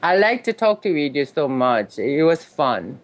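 A woman's voice: low voice, very animated, measured pace. A woman from South Korea with a Korean accent, a native Korean speaker chatting casually as a podcast guest. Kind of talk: casual chat.

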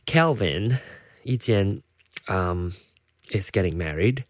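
A man's voice: deep voice, expressive, takes it slowly, dry recording, studio quality. A man from Malaysia with a Malaysian English accent, partly Americanized, hosting his own show in a casual, rambling solo chat full of fillers and restarts. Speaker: podcast host.

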